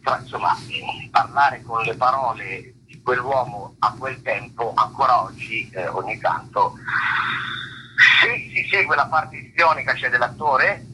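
A man giving unscripted interview answers as an actor, haltingly searching for words and rephrasing himself. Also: mid-range voice, expressive, background noise. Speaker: stage actor, interview guest.

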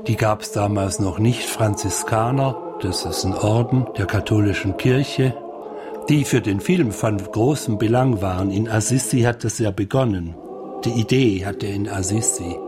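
A man comments on a film as he watches, thinking aloud in halting fragments. The voice is fairly low and very slow, over clearly audible music. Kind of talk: unscripted reflective commentary.

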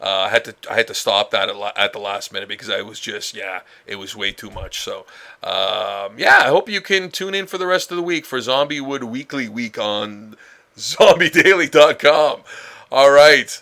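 Bright-voiced man hosting a casual podcast. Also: mid-range voice, normal speed, vocal fry. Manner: rambling, many fillers and restarts. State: stuffed up with a head cold. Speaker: podcast host. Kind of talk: casual solo podcast chat.

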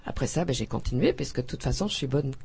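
A woman's voice: a bass voice, expressive, quick pace, gravelly, warm tone, slightly rough. A woman author from Belgium in a radio interview, talking effusively with frequent hesitations, restarts and laughter.

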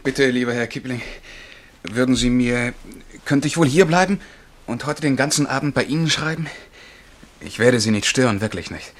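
An actor playing an eager, naive young would-be poet in a radio play, earnest, polite and a little self-important. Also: male voice, medium pitch, moderate pace, close to an everyday voice. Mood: eager pleading.

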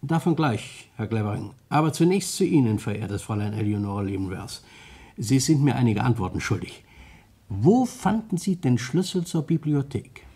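Shrewd, husky police detective. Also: male voice, medium pitch, expressive, mellow. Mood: probing. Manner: formal, deliberate, lecturing. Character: shrewd, self-satisfied male police detective, courteous but commanding. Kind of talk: German radio crime play acting.

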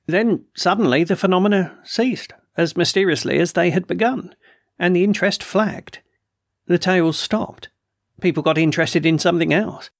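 A man voicing a blustering, excitable old colonel. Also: sits high, moderate pace, gravelly, no room sound, studio quality, voice pushed up. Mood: agitated. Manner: agitated, emphatic, snorting outbursts. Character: blustering excitable middle-aged male colonel.

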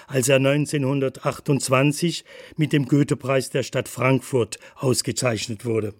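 A man's voice: mid-range voice, no room sound, studio quality. A man reading scripted documentary narration in a factual, composed way.

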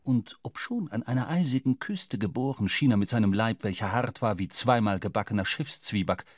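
An actor playing a reflective seafaring narrator, reading literary prose in a radio drama. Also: male voice, lowish voice, moderate pace, background noise, close to an everyday voice. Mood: measured storytelling. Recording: music under the voice. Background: music.

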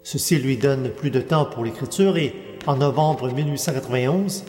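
A man reading a scripted biographical narration in a steady, measured way, working through dates and names. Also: mid-range voice. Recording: echoey hall with faint music.

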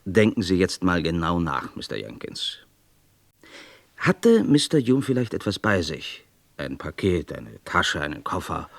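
Probing police inspector, lively intonation. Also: male voice, low-pitched, a little slow, vocal fry, no echo, heavily acted. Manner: questioning, insistent, clipped. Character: probing, businesslike male British police inspector. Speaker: actor. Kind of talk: German radio crime drama acting.

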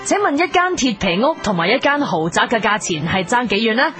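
A woman playing a formal, brisk and absurdly biased school quiz host in a comedy radio sketch, with a Hong Kong Cantonese accent. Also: fairly high pitch, big pitch swings. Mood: brisk, deadpan. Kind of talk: comedy radio sketch as game show hosting. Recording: music behind the voice.

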